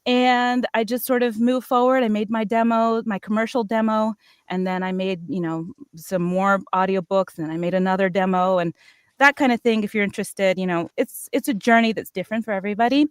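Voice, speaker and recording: A woman's voice: mid-range voice, fairly even intonation, fairly quick, clean tone, no room sound, studio quality. A middle-aged American woman telling personal stories casually, in a playful, chatty way that often interrupts itself. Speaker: voice actor on a cast panel.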